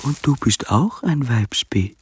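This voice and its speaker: An actor in a radio drama playing a swaggering, boastful, lustful military drum major with a breathy, seductive delivery. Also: male voice, medium pitch, lively intonation, measured pace. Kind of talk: theatrical radio drama acting.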